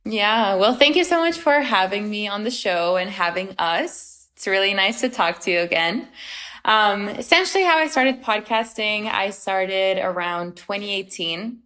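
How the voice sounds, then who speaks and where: A young woman from Romania speaks as a guest on a podcast, answering questions casually and earnestly. She pauses now and then with small fillers as she gathers her thoughts. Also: medium pitch, expressive, sharp tone. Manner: earnest, a little hesitant, many fillers.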